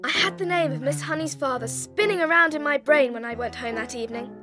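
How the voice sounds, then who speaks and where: A clever little girl telling her own story in a children's audiobook, acted by a woman. Her high voice is measured and determined, with instrumental music playing clearly behind it. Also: close to an everyday voice. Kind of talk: scripted first-person narration, dramatised audiobook.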